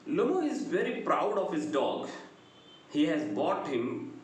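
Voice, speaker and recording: A middle-aged man with a South Indian accent giving a lecture, retelling a story deliberately with pauses between phrases. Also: on the high side, big pitch swings. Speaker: English lecturer. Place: small bright indoor room. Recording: very echoey room, faint background noise.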